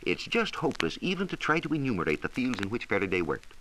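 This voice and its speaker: An American man presenting a scripted 1940s educational radio science talk with measured, emphatic storytelling. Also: medium pitch, big pitch swings. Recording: hissy 1940s radio transcription recording.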